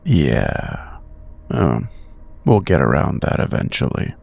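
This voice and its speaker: A man playing a surly, taciturn big investigator, terse and deadpan, with a gravelly creak. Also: very deep voice, takes it slowly, full character voice. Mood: surly, flat.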